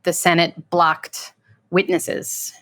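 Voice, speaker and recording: A woman's voice: on the low side, monotone, slow, fairly bright tone, no room sound. A woman dramaturg recollecting events in an interview.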